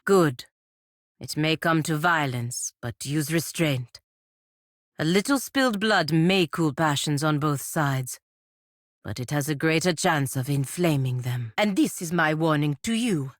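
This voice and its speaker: An actress voicing a proud, stern warrior knight in a video game, speaking in a formal, measured, admonishing way. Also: female voice, deep voice, dry recording, clean studio sound, natural voice. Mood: stern warning. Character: proud, stern warrior knight sworn to her republic, woman. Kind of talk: video game character dialogue.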